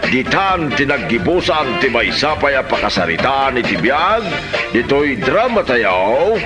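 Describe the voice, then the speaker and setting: A man announces a drama in a scripted intro. He draws his words out slowly and swings widely in pitch, with prominent music behind him. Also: sing-song. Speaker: show announcer.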